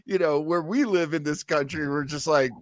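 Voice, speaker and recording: A man's voice: on the high side, normal speed, no echo, clean studio sound. A man hosting a podcast, chatting casually and commenting on old radio shows. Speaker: podcast host.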